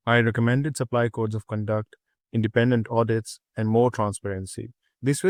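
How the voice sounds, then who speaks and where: A young man from Pakistan, a student, reading a prepared reflection in a steady, earnest way with small restarts. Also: mid-range voice, no room sound, clean studio sound.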